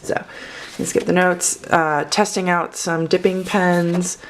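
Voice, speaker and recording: A young woman in her twenties talks in a low voice, with the slightly off sound of someone who is sick. She rambles and corrects herself as she pages through her sketchbook for a casual video. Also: flat delivery, slow pace, slight background noise, poor audio. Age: 20s. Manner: chatty, rambling, self-correcting. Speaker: artist vlogger.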